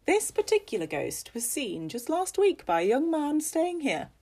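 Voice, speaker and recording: A woman's voice: high-pitched, huge pitch swings, normal speed, a little mellow, voice pushed up, full character voice. A woman voicing a theatrical female guide who tells tales of hauntings with emphatic relish.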